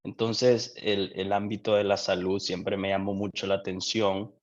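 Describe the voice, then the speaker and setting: Young Honduran man, very low voice, lo-fi muffled sound, thoughtful interview guest. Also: flat delivery. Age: young adult. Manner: reflective, unhurried, with frequent restarts. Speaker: guest, doctoral student. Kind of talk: casual interview answers.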